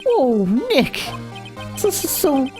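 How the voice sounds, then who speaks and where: A woman voicing an excitable, caring young female legal assistant in a video game, emotive and slightly stumbling. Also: high voice, slow pace, exaggerated voice. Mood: moved, urgent. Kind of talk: reading visual novel game dialogue aloud. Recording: faint music behind the voice.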